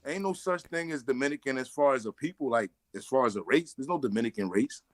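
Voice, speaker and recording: A man's voice: mid-range voice, animated, quick pace, no room sound. A man, co-hosting a podcast and arguing his points bluntly, with plenty of swearing. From United States. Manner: blunt, argumentative, swears freely. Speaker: podcast co-host. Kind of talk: casual argumentative podcast chat.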